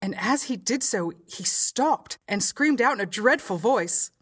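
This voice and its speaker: A woman's voice: fairly high pitch, expressive, a little slow, a bit bright, breathy edge, voice pushed up, heavily acted. A woman voicing a timid but shrewd young lady earnestly recounting what she saw. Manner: earnest, confiding.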